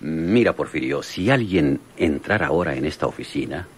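An actor playing a brisk, authoritative police lieutenant in an old radio drama, with a Mexican accent. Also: male voice, a bass voice, a little hiss or hum. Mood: confiding, instructing. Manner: authoritative, expressive.

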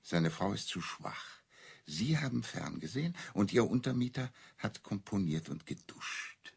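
Dryly logical clergyman in crime radio play, deadpan, deep and creaky. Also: measured pace, heavily acted. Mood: dryly summarising. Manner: deadpan, ironic questioning. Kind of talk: German radio crime drama dialogue.

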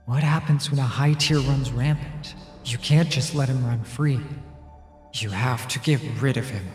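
A man's voice: medium pitch, a little mellow, echoey room, in a large hall, close to an everyday voice. A man voicing a wary, anxious teenage schoolboy investigator in a comic dub. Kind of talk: dramatic comic dub acting with inner monologue.

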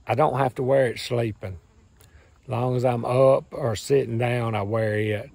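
A hoarse man in his thirties with a Southern US accent, rambling cheerfully in a folksy way to a phone camera while standing outdoors in the woods. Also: medium pitch, fairly even intonation, unhurried, a little mellow, no room sound. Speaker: farm and hunting channel host. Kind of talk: casual vlog talk to camera. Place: outdoors in woods beside a truck. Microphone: phone camera at arm's length.

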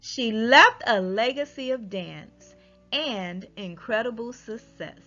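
A woman narrates a rhyming picture book for children at an unhurried pace. Her delivery is expressive, with animated rises and falls. The recording is slightly muffled, with music softly behind her. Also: on the high side. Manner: expressive, deliberate storytelling delivery. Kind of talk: read-aloud rhyming children's story narration. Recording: dull lo-fi audio with music behind.

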